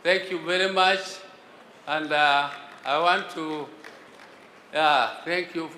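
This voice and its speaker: Older Kenyan man, high bright tone, slow halting delivery, in a reverberant hall. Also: fairly even intonation, a little hiss or hum. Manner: earnest, halting, with repeated restarts. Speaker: elder speaker at a national forum. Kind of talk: public address. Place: large conference hall.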